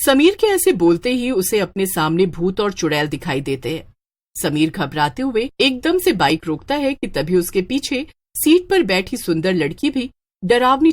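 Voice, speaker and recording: A woman narrating a scripted horror story in a dramatic, expressive way. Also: fairly low pitch.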